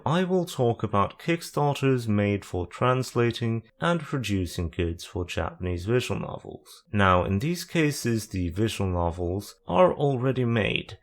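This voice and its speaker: A man hosting a video essay, talking through the topic with dry, sarcastic asides. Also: fairly low pitch.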